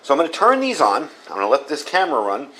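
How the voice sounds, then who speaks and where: A man's voice: on the high side, wildly swooping pitch, fast, sharp tone, low-quality recording. A man hosting a casual hands-on product review, talking in a folksy, plain-spoken way and repeating himself for emphasis. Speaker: product reviewer and channel host.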